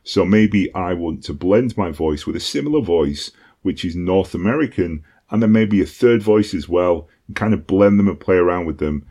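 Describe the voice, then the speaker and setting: Deep-voiced British man explaining casually. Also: normal speed, dark tone, slightly rough. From Britain. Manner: conversational, frequent ums and "okay?" check-ins. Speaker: online course instructor.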